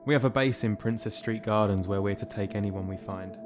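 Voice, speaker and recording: A man's voice: lowish voice, brisk, music in the background, natural voice. A young man playing a weary, dryly sarcastic soldier rescuing survivors in an audio drama. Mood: urgent, bitter. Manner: dry, sarcastic.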